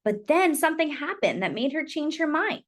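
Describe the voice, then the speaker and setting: A woman reading a children's picture book aloud as a storyteller, with expressive, deliberate phrasing and dramatic emphasis. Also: high-pitched.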